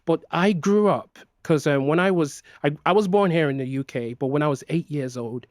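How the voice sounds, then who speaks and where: A man's voice: a little high, moderate pace, a little mellow, dry recording, clean studio sound. Hesitant Nigerian-raised man, filler-heavy. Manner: hesitant, many fillers and restarts. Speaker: guest. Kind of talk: personal interview.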